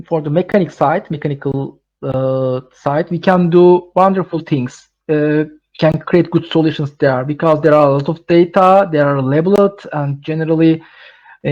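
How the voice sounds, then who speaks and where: A man with a Turkish accent and a fairly high voice, answering webinar questions in halting English, stopping and restarting his sentences. The sound is dull and lo-fi, like audio from a video call. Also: a little mellow. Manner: halting non-native English with many restarts and fillers. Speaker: webinar speaker. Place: London.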